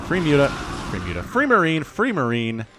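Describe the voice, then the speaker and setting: Man, 30s, solo game caster, lively swooping pitch, excitable and chatty, faint music behind. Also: normal speed. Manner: excitable, chatty, jokey asides. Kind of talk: solo esports play-by-play commentary.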